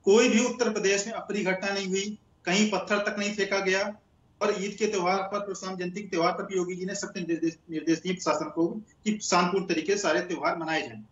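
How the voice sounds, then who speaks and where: A man's voice: high voice, steady tone, fast talker, a bit bright, echoey room, lo-fi sound. A man speaking as a panelist in a televised debate, defending the government's record assertively. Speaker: debate panelist for the ruling party. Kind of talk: TV debate argument.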